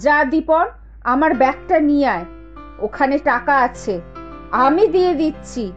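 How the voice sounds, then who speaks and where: An actress playing a kind but firm elderly mother in a cartoon, motherly and gently scolding. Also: sits high, moderate pace, muffled recording, soft background music, lightly acted. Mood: kindly. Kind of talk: animated cartoon dialogue.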